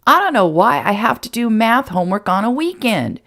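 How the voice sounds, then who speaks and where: Lady doing a whiny little boy, high and eager, kinda gravelly. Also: a little fast, heavily acted. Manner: high, pleading and excited.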